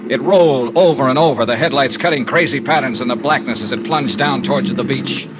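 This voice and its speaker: A man's voice: mid-range voice, fairly quick, natural voice. An actor playing a cynical, world-weary male insurance investigator in a terse, sardonic 1950s radio noir style. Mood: tense narration. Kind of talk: 1950s radio noir acting and first-person narration. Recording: noisy old radio drama recording.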